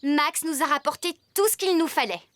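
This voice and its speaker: A woman acting a cheerful, practical young farmer in a French children's cartoon dub, speaking expressively and clearly. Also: high-pitched, brisk, no room sound. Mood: delighted. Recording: loud music behind the dialogue.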